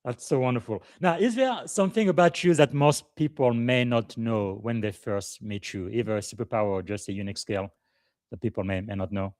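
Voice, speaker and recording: A man's voice: medium pitch, fairly quick, a little mellow, no room sound, studio quality. A man hosting a live leadership podcast, giving long formal introductions broken up by frequent "uh" fillers. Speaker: podcast host and leadership coach.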